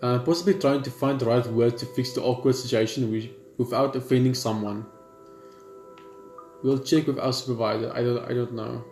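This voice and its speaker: A man hosting a gaming video reads on-screen story text aloud, haltingly, repeating words and adding casual asides. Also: mid-range voice, steady tone, a little mellow. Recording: echoey room with faint game music. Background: game music.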